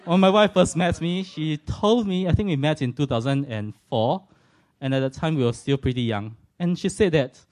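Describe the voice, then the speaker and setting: A Singaporean man in his forties tells his faith story to a church audience. His voice is mellow and warm. He speaks earnestly and thoughtfully, now and then poking gentle fun at himself. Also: a little high, expressive, normal speed. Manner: earnest and reflective, with light self-deprecating humour. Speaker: speaker giving a personal testimony.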